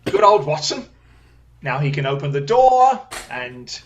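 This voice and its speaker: An actor playing a brilliant, wry, self-assured Victorian detective in an audio drama, with a crisp, theatrical, commanding manner. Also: mid-range voice, big pitch swings, measured pace, lo-fi sound. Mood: brisk, commanding.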